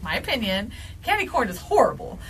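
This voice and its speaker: A woman's voice: on the high side, very animated, a little fast. A woman co-hosting a casual live show, chatting in an informal, giggly way in a noisy room. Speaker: show co-host. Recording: noisy room, somewhat distant mic.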